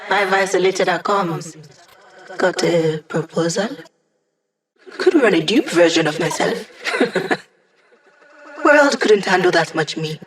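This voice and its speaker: Casting: playful young hacker for a video game, mid-pitched, self-assured, casual teasing squad support. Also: distant mic. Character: playful, cocky young hacker sidekick guiding a villain squad.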